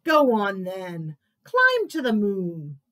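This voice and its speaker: A woman's voice: medium pitch, wildly swooping pitch, slow, a bit bright, dry recording, heavily acted. A woman voicing a bossy, know-it-all crocodile in a picture-book read-aloud, talking emphatically. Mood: scolding. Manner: scolding, emphatic.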